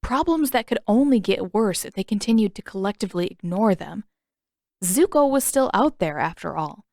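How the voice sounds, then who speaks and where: A woman's voice: mid-range voice, animated, moderate pace, darkish tone, no room sound, clean studio sound. A woman reading a story aloud as an audiobook narrator in scripted narration.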